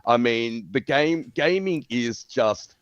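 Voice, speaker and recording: A man's voice: medium pitch, animated, slow pace, no room sound. A man giving enthusiastic, emphatic casual commentary on video games as a panel guest. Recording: dry, slightly dull lo-fi audio.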